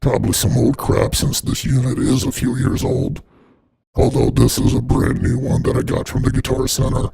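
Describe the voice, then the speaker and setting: A man narrates an unboxing with heavy creak and a husky rasp, his voice run through a voice modifier. He is casual and irreverent, and swears freely. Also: mid-range voice, level tone, normal speed, dark tone. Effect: voice changer processing. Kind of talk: casual scripted product unboxing voice-over.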